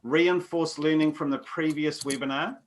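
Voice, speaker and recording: A man from New Zealand co-hosting a webinar over a video call, reading out chat messages with quick, upbeat reactions. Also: on the high side, steady tone, slight background noise. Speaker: webinar co-host.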